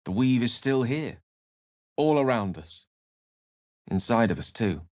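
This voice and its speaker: A man's voice: mid-range voice, expressive, unhurried, a little mellow, lightly acted. A man voicing a learned, wry and romantic wizard in a video game, speaking in an articulate, theatrical way. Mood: earnest, grandiose. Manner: theatrical, articulate, literary phrasing. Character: learned human male wizard, eloquent, wry, romantic and dramatic. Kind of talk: video game character voice acting.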